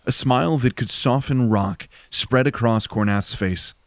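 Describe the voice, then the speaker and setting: A man's voice: mid-range voice, unhurried, no echo. A man giving dramatic scripted narration for a fantasy audio drama.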